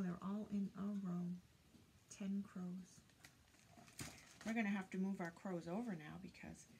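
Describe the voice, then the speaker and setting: Slow-paced older woman reading to children. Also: medium pitch, a little hiss or hum. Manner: singsong counting, deliberate, prompting listeners with questions. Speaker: children's teacher reading aloud. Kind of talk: picture-book read-aloud with counting questions for young children. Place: indoors, small room lined with bookshelves.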